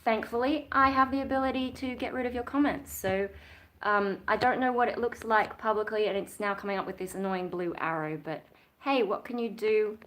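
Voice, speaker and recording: A young woman with an Australian accent talks casually through a livestream, reading viewer comments aloud and answering them as she goes. Her voice sits at a middle pitch, and she rambles with plenty of fillers. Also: fairly even intonation, a little fast, fairly bright tone. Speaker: YouTuber.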